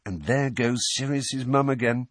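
A man's voice: medium pitch, measured pace, no room sound, studio quality, pitched above the performer's own voice, lightly acted. A man voicing a teenage boy who talks in a knowing, sardonic way. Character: mischievous teenage boy, a twin.

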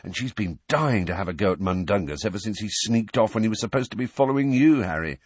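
A man's voice: lowish voice, animated, fast, breathy edge, no room sound. Man voicing a sardonic teenage twin. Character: mischievous teenage boy, a twin.

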